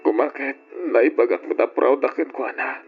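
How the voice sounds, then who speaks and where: A man as an earnest son, husky and gravelly. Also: mid-range voice, fairly even intonation, moderate pace, faint music behind. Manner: earnest and emotional. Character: grateful grown son of a farmer. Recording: dull lo-fi audio.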